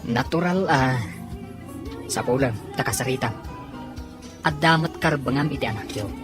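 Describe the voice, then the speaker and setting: Woman playing concerned drinking buddy in a radio soap, really deep voice, music behind. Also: normal speed. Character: concerned friend and drinking companion. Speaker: voice actor in an audio drama. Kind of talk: radio drama acting.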